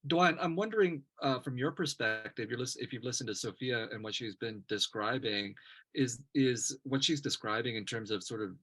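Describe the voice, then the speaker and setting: A man's voice: medium pitch, moderate pace, pushed and bright, breathy edge. A man hosting a panel conversation, thoughtful and hedging with fillers as he frames questions. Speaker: host.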